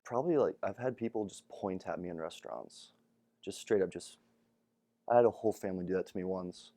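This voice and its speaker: A man's voice: deep voice, a little fast, mellow, no room sound. A young man, a college athlete, giving casual answers in an interview. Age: young adult. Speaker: college basketball player.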